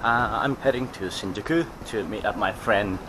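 A man in his forties with a Japanese accent, hosting a vlog in casual, halting English from a busy station concourse, camera at arm's length. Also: on the low side, background noise. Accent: Japanese, with some Australian. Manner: halting non-native English with fillers. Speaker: vlog host. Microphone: camera held at arm's length. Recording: music playing under the voice.

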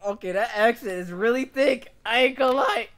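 A man's voice: very high voice, fairly quick, a bit bright, no echo. A man in his twenties doing casual, slangy, exclaiming reaction commentary, close on a microphone. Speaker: reaction video host. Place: small bedroom, indoors. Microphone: close mic on arm with pop filter, headphones.

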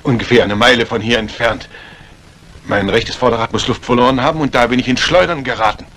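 An actor playing a calculating, outwardly composed doctor villain in a 1950s German radio crime drama, speaking in a measured way. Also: male voice, medium pitch, fairly bright tone, natural voice. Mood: composed, evasive. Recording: old radio play recording, faint hiss.

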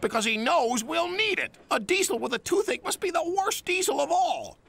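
A man voicing a pair of quarrelsome little tank engine twins in a very high, shrill, bickering voice. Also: big pitch swings, normal speed, creaky, a bit bright, heavily acted. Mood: gloomy. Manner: shrill and squabbling.

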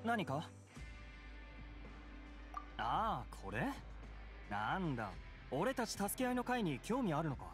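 An actor playing a friendly, earnest inmate in an anime game, explaining things in a plain-spoken way. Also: male voice, fairly high pitch, very animated, slow pace, pauses often, over music. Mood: curious. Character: friendly, earnest male inmate in a mutual aid group.

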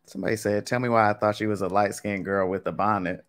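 A low-pitched young man running a live-streamed language quiz from his home setup. He speaks in a loose, chatty way, addressing the chat directly, with a somewhat deep voice. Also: steady tone, quick pace, a bit bright. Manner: casual, chatty, talks to chat with y'all. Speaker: Spanish teacher. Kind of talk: live-streamed game lesson.

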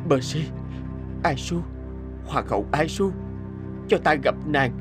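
A man voicing a lovesick, wounded young nobleman in an animated fairy tale, mournful and melodramatic. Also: fairly high pitch, takes it slowly, over music. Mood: weak, pleading.

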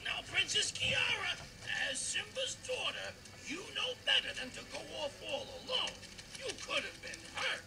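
A man voicing a fussy, wisecracking little meerkat babysitter in an animated comedy, theatrical and sarcastic. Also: very high voice, gravelly, heavy background noise, heavily acted. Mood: fussing, alarmed. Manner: theatrical, fast-talking, sarcastic. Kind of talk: animated comedy film acting.

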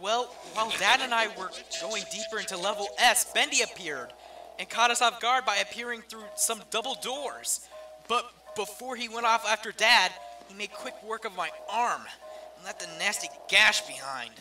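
A man's voice: high-pitched, moderate pace, close to an everyday voice. A man playing an anxious, protective young brother, earnest and nervous, in an amateur comic dub. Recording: slightly distant mic, music behind.

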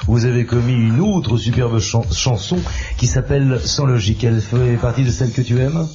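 A man's voice: mid-range voice, dull audio, a little hiss or hum. Jovial man hosting a radio show. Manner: lively, jokey, quick to laugh. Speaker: radio host. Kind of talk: live radio phone-in interview. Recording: 1980s FM radio cassette, music under.

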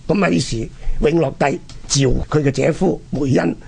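A man with a Hong Kong Cantonese accent and a husky, gravelly voice, telling history conversationally and dramatically as a radio presenter. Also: a little high, fairly even intonation, moderate pace, darkish tone. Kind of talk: animated conversational history storytelling.

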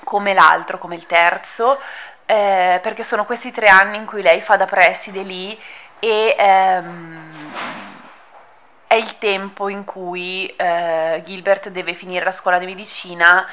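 A woman's voice: mid-range voice, steady tone, slow, bright, forceful tone. A woman giving an informal spoken book review, hesitant and thinking aloud as she goes. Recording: audible background noise.

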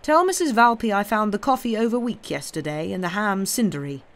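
A woman voicing a pompous gentleman who brusquely scolds his servant. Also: mid-range voice, lively intonation, pitched above the performer's own voice, natural voice. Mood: scolding. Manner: brisk, scolding, indulgent.

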